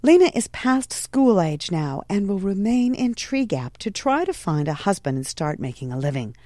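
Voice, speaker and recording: A woman playing a strict, snobbish mother, prim and commanding, with a shrill edge, in a period audio drama. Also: very animated, moderate pace, dark tone, dry recording, studio quality, close to an everyday voice. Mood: stern, dismissive. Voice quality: shrill when scolding. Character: strict, snobbish society mother, scolding and domineering.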